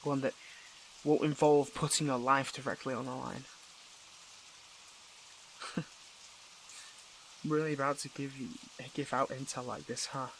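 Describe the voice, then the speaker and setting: A man playing a conflicted, tender superhero in an intimate audio roleplay, with a gravelly voice and halting, earnest, emotional delivery. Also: medium pitch, steady tone, fairly bright tone, slight background noise, rough recording. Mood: thoughtful, then reluctant, earnest.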